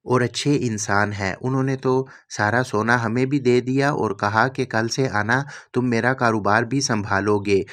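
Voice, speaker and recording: A man's voice: on the low side, close to an everyday voice. A man voicing an earnest, grateful poor farmer in a cartoon story.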